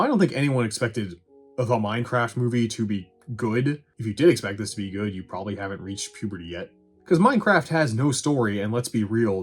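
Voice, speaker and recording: A man giving a casual, opinionated movie review in a wry, sarcastic, conversational way. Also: lowish voice. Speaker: film reviewer and host.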